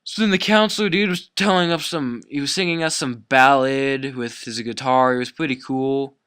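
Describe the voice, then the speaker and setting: A young man with a clean, smooth voice and a dry, close sound. He tells a story casually, rambling along in slangy, swear-heavy speech. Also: mid-range voice, normal speed, fairly bright tone, no echo. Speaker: YouTube storyteller.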